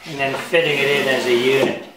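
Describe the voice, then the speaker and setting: An older man calmly explaining, step by step, as he builds a wooden kayak in his workshop. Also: mid-range voice, fast talker, recorded from a distance. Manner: calm, matter-of-fact explaining while working. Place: workshop, indoors. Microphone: camera mic at a distance. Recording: echoey workshop, voice from off-mic.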